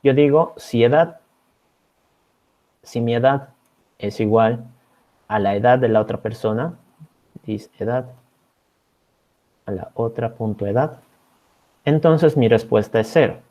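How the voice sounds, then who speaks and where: A man's voice: medium pitch, unhurried, long pauses, warm tone. A man from Bolivia teaching an online programming class as a teaching assistant. He explains code step by step and keeps checking in with his students. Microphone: video call. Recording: slightly dull video-call audio.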